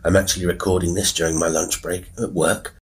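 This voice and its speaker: A British man hosting a solo podcast, talking informally in a rambling, wry, self-deprecating way with a husky voice. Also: low-pitched, little pitch movement, fairly quick. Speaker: horror podcast host and writer. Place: quiet room with a piano at work. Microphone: wireless Bluetooth mic into a phone.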